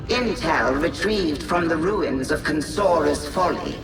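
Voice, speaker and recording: An actor playing a haughty, pompous tech-priest in a video game, speaking in a formal, condescending way. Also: male voice, fairly high pitch, lively intonation, measured pace. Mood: ominous, condescending. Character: haughty male machine-cult tech-priest, pompous and disdainful. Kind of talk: scripted game mission briefing and dialogue. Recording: roomy, distant, under loud music.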